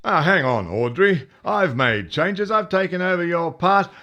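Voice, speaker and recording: A woman playing an exasperated mother of grown children in a comic radio soap, halting, dry and melodramatic. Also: on the low side, expressive, fairly bright tone, muffled recording. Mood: defensive.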